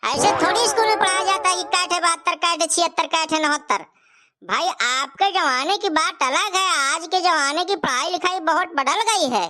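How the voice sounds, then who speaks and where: Woman playing a bright, high-pitched cheeky village fellow. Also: normal speed. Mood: protesting. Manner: taunting, colloquial, insistent. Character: cheeky, argumentative younger village man, teasing and insistent. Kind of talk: comic cartoon dialogue.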